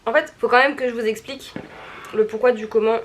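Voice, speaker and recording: A young woman chats in a playful, self-mocking vlog style. Her talk is casual and slangy. The home-made recording has clear background noise. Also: a little high, steady tone, brisk. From Paris, France. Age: young adult. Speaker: vlogger.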